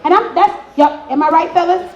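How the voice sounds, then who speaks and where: A woman hosting a live relationship talk, speaking in an animated, direct way and checking in with her listeners. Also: very high-pitched, fairly quick, muffled recording. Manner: animated, direct, asks the room to confirm. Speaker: host of a relationship therapy talk show. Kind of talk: lively advice talk to an audience. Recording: roomy, echoing live event space.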